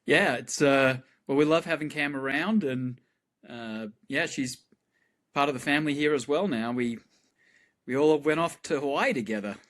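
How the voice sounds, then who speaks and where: Relaxed man chatting. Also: on the high side, normal speed. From Australia. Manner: relaxed, rambling with fillers. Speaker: guest astrophysicist. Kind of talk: casual chat.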